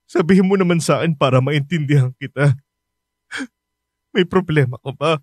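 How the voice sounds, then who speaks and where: A man's voice: a little high, normal speed, breathy edge, no room sound. A man playing a stern, anguished working father in a radio drama, emotional and exasperated. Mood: anguished, reproachful.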